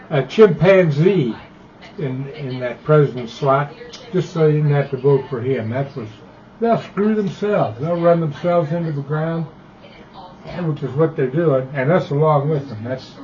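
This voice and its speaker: A man's voice: on the high side, darkish tone. An American man in his eighties chatting casually as an amateur radio operator, with a blunt, opinionated, rambling manner. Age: 80s. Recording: dull lo-fi ham radio audio.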